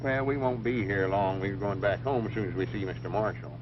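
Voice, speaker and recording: Actor doing folksy backwoods guy, lowish voice, bit bossy, hissy old film sound. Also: brisk, close to an everyday voice. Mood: folksy, earnest. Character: plainspoken, folksy backwoods man, bossy with his partner. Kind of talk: 1940s comedy film acting. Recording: muffled, noisy vintage film soundtrack.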